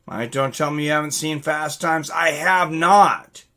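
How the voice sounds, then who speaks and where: Guy hosting a live stream, kinda high really bright voice, just chatting and reading comments. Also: unhurried. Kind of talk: casual live reaction chat, reading out viewer comments.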